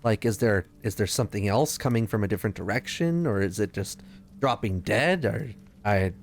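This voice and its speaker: A man's voice: on the low side, animated, normal speed. A man playing a tabletop roleplaying game, talking casually and thinking aloud with hesitant questions.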